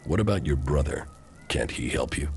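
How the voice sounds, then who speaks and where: A man playing a stoic, hardened commander in a post-apocalyptic video game, gravelly, terse and deadpan. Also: very deep voice, normal speed, soft background music. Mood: probing.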